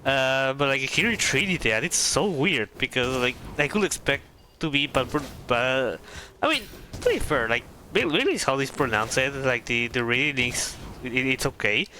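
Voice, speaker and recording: Bright, animated streamer reacting to a game. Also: mid-range voice, normal speed, a little hiss or hum. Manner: playful, reacts aloud, giggly. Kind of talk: casual gaming stream commentary. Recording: faint game music behind.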